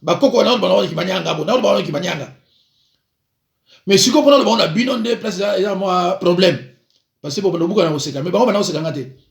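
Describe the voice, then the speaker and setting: Man, pastor, high voice, roomy echo, animated storytelling. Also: fast, creaky. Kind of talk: informal preaching talk.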